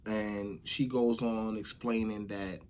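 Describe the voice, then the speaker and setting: A man's voice: fairly low pitch, fairly even intonation, slow pace, darkish tone, a little hiss or hum. A man with an American accent giving casual spoken commentary as the host of a vlog. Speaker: vlogger hosting commentary show.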